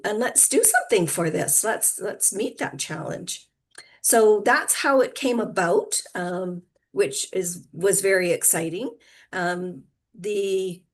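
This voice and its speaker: A woman's voice: medium pitch, slow pace. A woman hosting an online wellness event, introducing speakers in a loose, hesitant way with many fillers. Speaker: host and founder of an online wellness platform.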